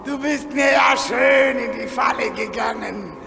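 A man playing a cruel, bullying female dragon schoolmistress in a children's radio play, with a gravelly, screechy voice and a mocking, sneering, shouting manner. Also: extremely high pitch, a little slow, faint music behind, some chatter behind, exaggerated voice. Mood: gloating, mocking. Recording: echoey hall, noisy radio play recording.

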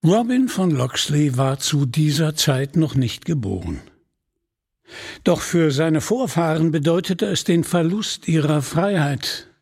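A man narrating a scripted radio play in a measured, deliberate storytelling manner, with a husky voice. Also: fairly high pitch, animated, a little mellow.

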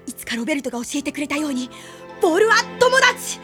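Plucky boy soccer hero for animation: very high, quick, earnest, determined. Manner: earnest, energetic, shouting to teammates. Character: spirited, determined boy soccer player in a cartoon. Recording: music behind the voice.

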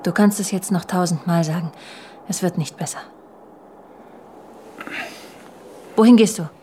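An actress playing a brooding, suspicious middle-aged wife in an intimate radio drama, hushed and halting, often slipping into a whisper. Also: female voice, fairly low pitch, a little hiss or hum, close to an everyday voice. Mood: weary, uneasy. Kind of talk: intimate German radio drama acting, dialogue and inner monologue.

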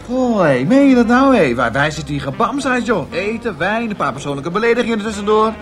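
A man playing a crude, excitable loudmouth with coarse slangy chatter in a comic science-fiction radio drama. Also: high voice, fairly quick, background noise. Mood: brash, excitable.

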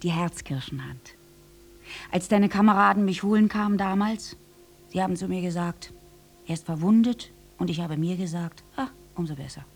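Morbidly tender, obsessed soldier's lover. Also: female voice, lowish voice, darkish tone, faint music behind. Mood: morbid, brooding. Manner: intense, deliberate, dropping into breathy whispers. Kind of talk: theatrical radio drama monologue.

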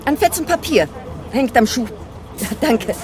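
An actress playing a prim, practical wife in a radio play, brisk and matter-of-fact and fussy over details. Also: female voice, sits high, animated, a crowd in the background. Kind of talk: German radio drama acting.

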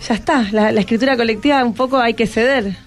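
A woman's voice: on the high side, quick pace, faint music behind. A woman with an Argentine accent hosting an informal radio interview with students. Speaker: radio host.

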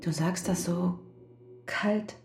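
An actress playing the worried, pleading wife of a prisoner in a radio drama. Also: female voice, fairly low pitch, fairly even intonation, slow pace, a little mellow, roomy sound. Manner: anxious, pleading. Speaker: wife Hazel.

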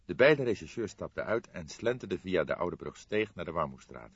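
A man's voice: low-pitched, sing-song, fairly quick, slightly rough, no room sound, lo-fi sound. A man narrating a scripted story as an audiobook reader.

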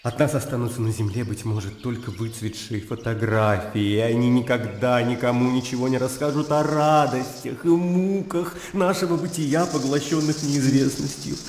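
An actor playing a fervent, high-strung young idealist gentleman in a radio play, arguing in formal, rhetorical language. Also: expressive, unhurried, roomy sound, in a large hall, a little hiss or hum. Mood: agitated, passionate.

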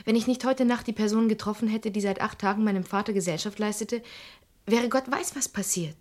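An actress playing a cool, resolute grieving daughter with a controlled, firm delivery in a radio crime drama. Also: female voice, mid-range voice, fairly quick, a little mellow, no echo, natural voice. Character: cool, resolute pianist, murdered man's daughter.

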